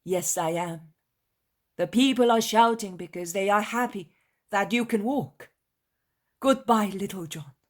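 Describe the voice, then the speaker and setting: A woman playing a gentle yet commanding male religious teacher in a dramatised story, solemn and emphatic. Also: medium pitch, unhurried, long pauses, voice pushed up, lightly acted. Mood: tender, reassuring.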